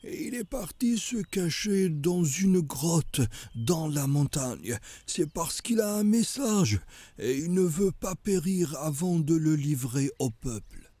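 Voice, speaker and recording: Slow, lilting old grandfather storyteller. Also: a little high, expressive, mellow, no room sound. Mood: patient, earnest. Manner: patient, solemn storytelling. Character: kindly old grandfather sage telling prophetic stories. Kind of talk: cartoon storytelling.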